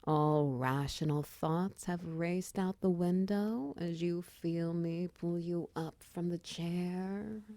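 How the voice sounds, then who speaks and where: A woman voicing a muscular female minotaur wizard who runs a potion shop, in a teasing, commanding roleplay ASMR style, with a breathy voice that slips into whispers. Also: on the low side, slow, few pauses, mellow, no echo. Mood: seductive, teasing. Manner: intimate, teasing, commanding, with pet names. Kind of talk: roleplay ASMR audio drama.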